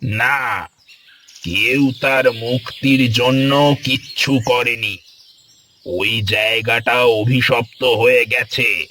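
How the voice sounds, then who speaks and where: A man plays a grave old villager in a horror cartoon. The voice is slow and forceful, weary and ominous, sounding a little away from the mic with birdsong behind. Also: medium pitch, steady tone, slight background noise. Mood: grim, regretful. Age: older. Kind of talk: animated horror story acting. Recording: distant voice with birdsong ambience.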